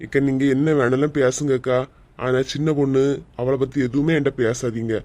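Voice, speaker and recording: A man plays a cartoon village man with a medium-pitched voice and a Kanyakumari Tamil accent. He speaks respectfully and colloquially, with a stubborn, quick-tempered streak. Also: steady tone, warm tone, natural voice. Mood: firm. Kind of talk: cartoon comedy voice acting.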